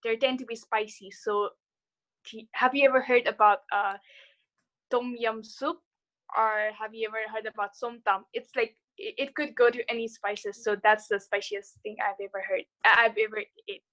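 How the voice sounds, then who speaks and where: A young woman from Nepal speaks on a video call. Her voice is bright and fairly high, and she talks hesitantly, with plenty of fillers. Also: steady tone, moderate pace. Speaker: student participant. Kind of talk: casual video call chat.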